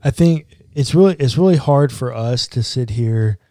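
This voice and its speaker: A young man, a pastor, talking on a podcast in a measured, earnest and reflective way. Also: mid-range voice, expressive, mellow, no echo, clean studio sound. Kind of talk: casual chat. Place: small home studio room. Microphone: boom-arm podcast mic.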